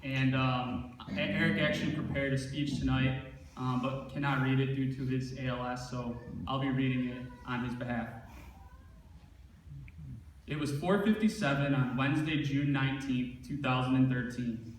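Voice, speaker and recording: A man's voice: mid-range voice, level tone, normal speed. A man giving a prepared speech read aloud at an award ceremony. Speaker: speaker reading his brother's acceptance speech. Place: large echoing event room at an award ceremony. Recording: very echoey, far from mic, faint noise.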